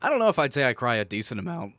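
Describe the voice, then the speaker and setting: A young man banters casually as a podcast co-host. His mid-pitched voice swings expressively while he reacts and teases. The sound is dry and close, free of room echo. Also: sing-song, racing, fairly bright tone.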